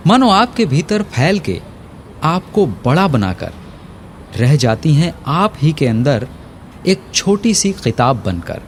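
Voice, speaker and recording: An actor playing an aging, remorseful scholarly father in a reflective radio drama, speaking pensively with halting, dramatic pauses. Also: fairly high pitch, slight background noise. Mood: reflective, earnest. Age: older. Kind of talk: Hindi radio drama monologue, literary and reflective.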